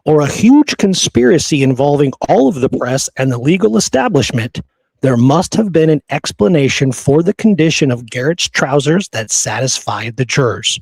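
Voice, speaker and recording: A man's voice: a little high, sing-song, normal speed, vocal fry, darkish tone, slightly rough, no room sound. Man in a dry-sounding history discussion. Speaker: podcast co-host. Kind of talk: conversational historical discussion, sometimes reading quotes.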